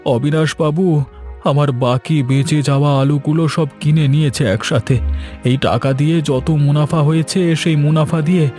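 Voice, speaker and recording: A man's voice: on the high side, fairly even intonation, moderate pace, mellow, soft background music, close to an everyday voice. A man voicing an earnest, grateful middle-aged village farmer in a cartoon. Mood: earnest relieved. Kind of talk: cartoon voice acting.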